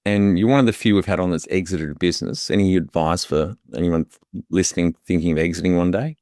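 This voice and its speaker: A man with a very deep voice hosts a business podcast, asking short, plain questions. His voice sounds dry and close to the microphone. He adds quick affirmations between the guest's answers. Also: darkish tone, no room sound. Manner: brief, affirming interjections. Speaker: podcast host. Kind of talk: interview questions.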